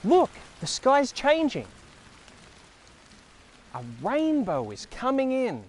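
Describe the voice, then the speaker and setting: An Australian man presenting and reading a story for young children in a playful, expressive manner. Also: very high voice, takes it slowly, slight background noise. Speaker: children's storyteller and show host. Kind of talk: children's read-aloud and playful presenting to a puppet-like companion. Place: outdoors in a forest.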